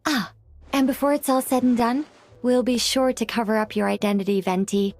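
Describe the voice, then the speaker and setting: Earnest, precise young game mage woman actor, higher register, formal and technical. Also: steady tone, fairly quick, darkish tone, close to an everyday voice. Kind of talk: anime game voice acting.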